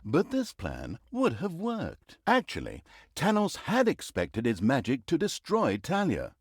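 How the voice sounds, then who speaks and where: Snarky pompous game narrator, pitch swooping all over, super dry close recording. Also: male voice, wildly swooping pitch, measured pace, husky, dry recording, heavily acted. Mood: wry, sardonic. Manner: sardonic, dryly humorous, mock-dramatic. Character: sarcastic, pompous male storyteller of evil deeds. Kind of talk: theatrical scripted fantasy narration.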